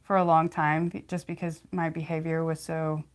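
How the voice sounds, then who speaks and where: A young woman with a slight lisp, chatting casually on a livestream, halting often with fillers and restarts. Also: low-pitched, flat delivery, smooth. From California, United States. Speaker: livestream host.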